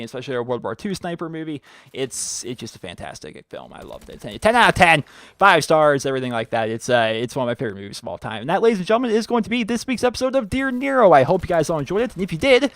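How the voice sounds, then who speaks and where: A man's voice: fairly high pitch, dry recording. A young man hosting a gaming channel, chatting casually and losing his voice, rambling with lots of fillers. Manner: rambling, filler-heavy, "like" after every few words. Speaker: YouTube gaming channel host. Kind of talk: casual chat answering viewer questions.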